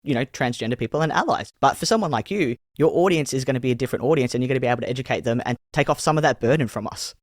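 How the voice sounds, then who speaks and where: Low-voiced Australian host, dry close sound. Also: male voice, rapid-fire, hardly any pauses, no room sound. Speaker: podcast host. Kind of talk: casual interview chat.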